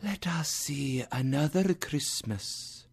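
Narrator playing a hushed, gentle ghostly spirit. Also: medium pitch, lively intonation, slow pace, creaky, slightly rough, pitched above the performer's own voice, full character voice. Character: gentle whispering ghostly spirit guide, calm and thoughtful.

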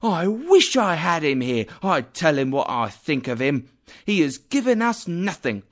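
A man's voice: high voice, very animated, slow, voice pushed up, exaggerated voice. A man voicing an indignant working-class mother who speaks with scorn and heat. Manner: scornful, heated.

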